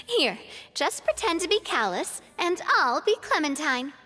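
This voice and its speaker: A woman's voice: sits high, very animated, slow pace, pushed and bright, long reverb tail, soft background music, heavily acted. An actress voicing a confident, cheerful young woman who leads her group in a video game, talking in an upbeat, take-charge way. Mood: bright. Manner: upbeat, breezy, takes charge. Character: confident young female boss, cheerful and decisive.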